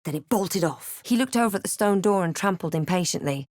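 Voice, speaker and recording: A wry, low-pitched adventurer heroine. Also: female voice, lively intonation, a little fast, a little mellow, no room sound, clean studio sound. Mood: calm, reflective. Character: female adventurer hero, wry, observant, quietly guarded. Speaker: video game player character. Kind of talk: scripted game voice lines and past-tense narration.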